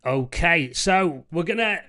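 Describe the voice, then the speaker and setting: A man with a softened Scouse accent, hosting a live music feedback stream in enthusiastic casual chat. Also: fairly high pitch, expressive, takes it slowly. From Liverpool, England. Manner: enthusiastic, leans on "you know" and "mate". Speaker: host of a music feedback show.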